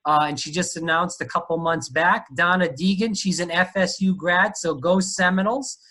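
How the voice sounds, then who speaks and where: A man's voice: high voice, fairly even intonation, moderate pace, bright, forceful tone. A man from Rhode Island with a Rhode Island accent, hosting a casual interview and talking in a chatty way with lots of fillers. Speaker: podcast host.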